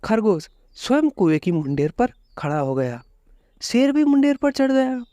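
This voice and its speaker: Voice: mid-range voice, very animated, moderate pace, dark tone, no room sound. A storyteller giving a scripted narration of a children's fable.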